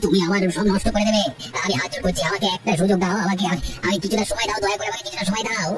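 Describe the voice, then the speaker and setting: A woman voicing a desperate, ruthless male swindler in a cartoon drama, hurried and evasive. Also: mid-range voice, steady tone, close to an everyday voice. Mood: pleading. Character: desperate, ruthless swindler man fleeing his crime. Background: music.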